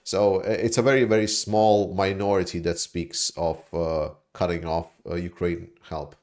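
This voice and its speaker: A man from Latvia, hosting a casual livestream and reading viewer chat, rambling and animated, with frequent swearing. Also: low-pitched, moderate pace. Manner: rambling, animated, swears, mocks opponents in put-on voices.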